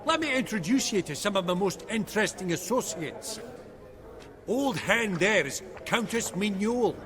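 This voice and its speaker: Dwarf banker for a game, high swoopy voice, chummy and bossy, echoey room. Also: male voice, expressive, normal speed, over music. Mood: sociable. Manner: colloquial, blustering, swearing. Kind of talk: video game voice acting.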